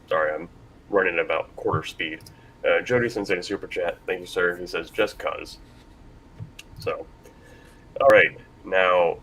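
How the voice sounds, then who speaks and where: A man's voice: sits low, sharp tone, a little hiss or hum, poor audio. A man moderating a casual panel discussion, speaking informally and a little hesitantly.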